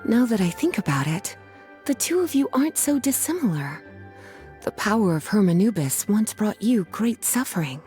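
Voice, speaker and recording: A woman's voice: medium pitch, sing-song, moderate pace, warm tone, natural voice. A woman voicing an elegant, playfully teasing young scholar in a video game, leisurely and coy, over music. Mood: warm, reflective. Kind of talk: scripted game dialogue acting.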